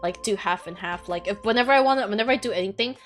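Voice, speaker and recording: A woman's voice: medium pitch, very fast, soft background music. A young woman hosting a casual podcast, blunt and giggly, swearing freely and rambling with restarts. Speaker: podcast host and streamer. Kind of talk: casual chat.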